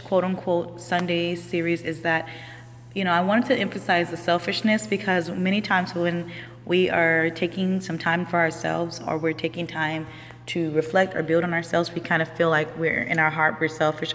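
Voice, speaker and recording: A woman's voice: fairly low pitch, big-room sound, a little hiss or hum. A woman, a life coach talking casually and loosely on a live stream, with many ums and restarts. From Houston, Texas.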